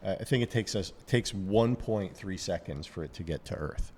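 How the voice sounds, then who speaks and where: Very deep-voiced man, thinking aloud. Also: darkish tone, long reverb tail, slight background noise. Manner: halting, many restarts, thinking aloud. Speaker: podcast co-host. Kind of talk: casual podcast chat.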